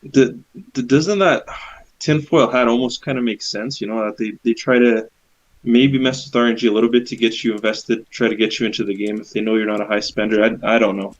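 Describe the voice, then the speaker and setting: A man's voice: on the low side, fairly even intonation, a little fast, lo-fi sound. A man from Romania co-hosting a casual gaming podcast. He talks in a laid-back, hesitant way and often hedges. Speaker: podcast co-host.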